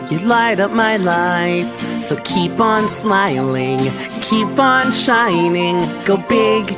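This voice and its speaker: A woman sings a children's song at an unhurried pace. Loud instrumental music plays along with her voice. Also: on the low side. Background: musical backing.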